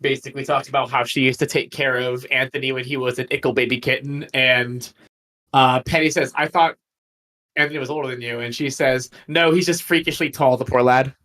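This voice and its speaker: A man's voice: mid-range voice, steady tone, brisk, bright, forceful tone. A man chatting casually on a livestream, enthusiastic and rambling as he talks about creative work as a guest.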